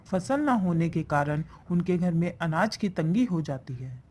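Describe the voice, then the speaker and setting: A woman's voice: lowish voice, animated, unhurried, a little mellow. A woman reading scripted narration as the storyteller of a cartoon fable.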